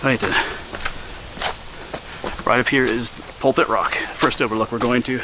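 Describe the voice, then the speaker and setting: A man from Pennsylvania narrating his hike in a relaxed, chatty way, recorded outdoors on a camera. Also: mid-range voice, normal speed. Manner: relaxed, chatty, pointing things out. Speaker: hiking vlogger. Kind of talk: casual narration while hiking. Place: outdoors on a wooded mountain trail. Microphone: handheld action camera. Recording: outdoor noise, insects and wind.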